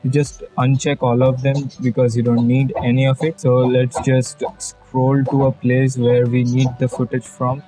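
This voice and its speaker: A young Indian man with a Bengali English accent talks through a screen-recorded editing tutorial. His voice is mellow and dark, at an ordinary pitch, with faint music under it. He rambles a little, jokes with viewers and sprinkles in fillers. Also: level tone, moderate pace, few pauses, poor audio. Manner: chatty, jokey asides, many fillers. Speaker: YouTube tutorial host. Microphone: budget external mic.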